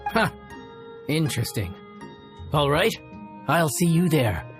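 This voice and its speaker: A man's voice: a little high, sing-song, slow pace, soft background music. A man voicing a level-headed, polite and modest young inn chef in a video game. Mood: intrigued.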